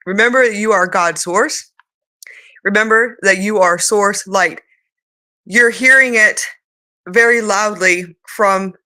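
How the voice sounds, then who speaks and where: Woman channeler, bright sharp tone, strained altered voice, rhythmic chanted syllables mixed with loose chat. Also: mid-range voice. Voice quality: voice she says sounds changed and strained. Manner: chants flowing rhythmic nonsense syllables between plain remarks. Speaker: spiritual channeler. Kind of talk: light language channeling and casual talk.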